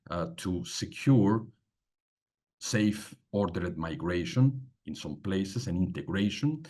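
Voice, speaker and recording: Deep-voiced man, halting panel talk. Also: darkish tone. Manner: halting, many fillers, emphatic. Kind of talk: panel talk on migration policy.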